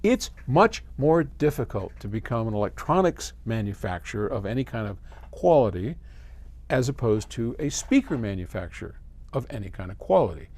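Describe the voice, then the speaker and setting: An older American man answering a viewer's question in a casual, folksy, conversational way with expressive emphasis. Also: medium pitch, normal speed. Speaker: host, audio company head answering viewer questions.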